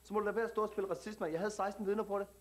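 A man's voice: high voice, steady tone, natural voice. An actor playing a brash young man at a TV casting, swaggering and aggressive, who tacks "mand" onto his lines. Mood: indignant. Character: brash young male street tough at a TV casting, hot-tempered. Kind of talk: scripted sketch comedy.

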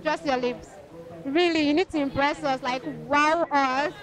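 A woman's voice: sits high, steady tone, a little slow. A young woman with a Nigerian accent interviewing people on a handheld mic at a fair. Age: young adult. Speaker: interviewer, reporter. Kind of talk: vox-pop interview at a fair. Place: outdoor market fair under tents. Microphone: handheld reporter microphone. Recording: busy outdoor crowd noise.

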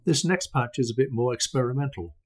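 A man's voice: medium pitch, fairly quick. Older man demoing gear. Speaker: module designer presenting a demo. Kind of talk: product demo, explanatory narration. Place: small room at home, indoors.

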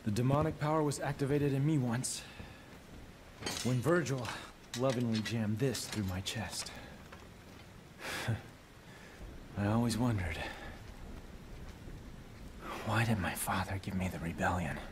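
An actor playing a cocky, world-weary middle-aged demon hunter in a video game, gravelly, with a wry drawl. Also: male voice, lowish voice, slow pace, long pauses, background noise. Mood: wry, musing. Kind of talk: video game cutscene acting.

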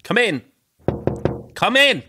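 An actor with a Dublin Irish accent playing a sharp-tongued, vain, irritable pantomime dame in an audio drama, camp and exasperated. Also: male voice, high-pitched, very animated, slow, over music, full character voice. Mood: irritated, dismissive.

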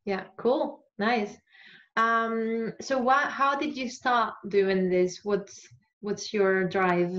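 A woman's voice: mid-range voice, extremely slow, a bit bright. A young woman interviewing a musician over a video call, friendly and often laughing between questions. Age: young adult. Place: room by a bright window. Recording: dull lo-fi video call audio.

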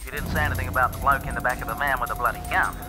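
An actor playing a brusque hired criminal on a film phone call. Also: male voice, mid-range voice, fairly even intonation, very fast, music in the background, natural voice. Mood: curt, annoyed.

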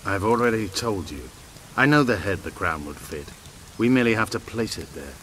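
An actor playing a proud, bitter elven rebel commander in a fantasy game, grave and declamatory. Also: male voice, lowish voice, expressive, moderate pace, a bit bright, slight background noise. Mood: proud, fervent. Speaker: video game character actor. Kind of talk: game dialogue acting.

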